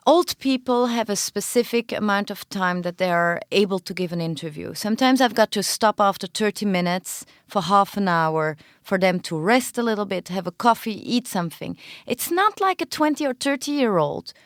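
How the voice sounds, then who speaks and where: A woman playwright and film director, talking earnestly in an interview and pausing often to find her words. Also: mid-range voice, animated, no room sound, clean studio sound. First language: not Hebrew. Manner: earnest, searching for words with frequent pauses. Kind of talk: podcast interview conversation.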